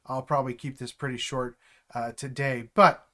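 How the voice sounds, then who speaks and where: A middle-aged man, stuffed up from allergies, chatting casually on a live stream from home, halting and full of fillers. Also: medium pitch, little pitch movement, bright, forceful tone. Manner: halting, with many fillers and restarts. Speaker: streamer and developer mentor.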